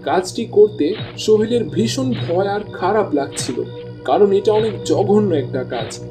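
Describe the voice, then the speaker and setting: A man's voice: high-pitched, lively intonation, unhurried, music in the background. A man reading scripted storytelling narration as the narrator of a tale.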